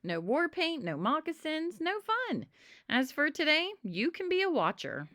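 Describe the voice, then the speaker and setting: Woman as bossy boy, mocking, sing-song, raised swooping pitch, children's storybook. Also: big pitch swings, a little slow, pushed and bright, no echo, studio quality, exaggerated voice. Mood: taunting. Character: bossy, taunting boy, Pinky, who makes the rules. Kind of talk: children's storybook read-aloud.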